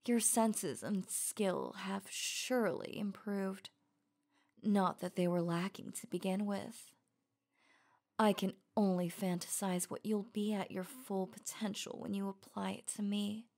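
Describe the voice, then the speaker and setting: Slow, sultry werewolf huntress acted by a woman. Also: mid-range voice, steady tone, darkish tone, close to an everyday voice. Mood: teasing, pleased. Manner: slow, breathy, intimate, often dropping to whispers. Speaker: audio roleplay voice actor. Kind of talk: ASMR roleplay.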